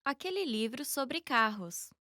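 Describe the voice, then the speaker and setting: A woman reading a scripted listening dialogue for learners in a clear, measured way. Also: sits high, lively intonation, pushed and bright, dry recording, studio quality. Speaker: dialogue narrator and speaker.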